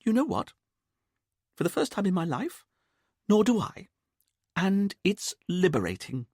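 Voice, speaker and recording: A man doing a free-spirited mother who swoops up high and talks with a breezy, defiant bounce. The voice sits far above his own and bounces widely, with a dry, close sound and no room around it. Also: huge pitch swings, measured pace, a little mellow, husky, dry recording, full character voice. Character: warm-voiced middle-aged woman, free-spirited mother.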